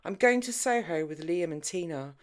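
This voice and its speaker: Audiobook role: breezy, headstrong wife, voiced by a female reader, brisk and emphatic. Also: on the low side, expressive, natural voice. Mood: breezy, hurried. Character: headstrong, breezy married woman seeking fun.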